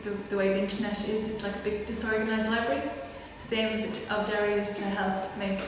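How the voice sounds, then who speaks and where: A woman, explaining research in an even, patient way. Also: medium pitch, quick pace. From Galway, Ireland. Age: 30s. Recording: echoey hall, distant mic, background noise.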